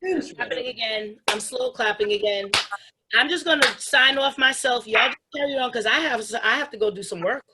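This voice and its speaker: A woman's voice: on the high side, brisk, noisy background. A woman hosting a casual live stream and putting questions to her guests. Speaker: host relaying chat questions.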